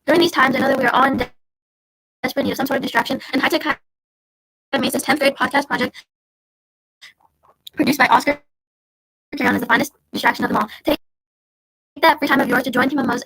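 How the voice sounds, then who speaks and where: A woman's voice: sits high, steady tone, quick pace, long pauses, fairly bright tone, low-quality recording. A woman playing an eager, chatty announcer in an old-time radio drama, hosting and prompting the storyteller. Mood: upbeat promotional. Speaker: announcer and host.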